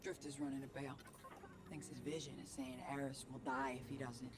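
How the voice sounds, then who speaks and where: An actress playing a jaded, plain-spoken crew operator in a video game, with a blunt, colloquial manner. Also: female voice, rumbling low, level tone, fairly quick, over music. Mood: bitter. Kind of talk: video game mission dialogue. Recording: clear background noise and ambience.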